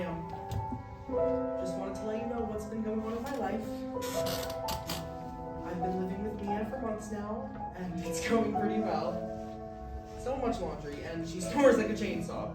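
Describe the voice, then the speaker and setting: A woman's voice: mid-range voice, natural voice. A young woman playing an earnest, rambling, self-deprecating male college student in a staged musical reading. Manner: hesitant, self-deprecating, chatty. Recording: very echoey room, far mic, music.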